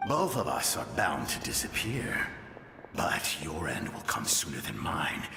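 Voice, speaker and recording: An actor playing a menacing, contemptuous spirit warrior in a video game, mocking and grandiose in manner. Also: male voice, rumbling low, wildly swooping pitch, a little slow, vocal fry, sharp tone, slight background noise, exaggerated voice. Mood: coldly threatening. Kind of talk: scripted video game dialogue. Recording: echoey, long reverb, music underneath.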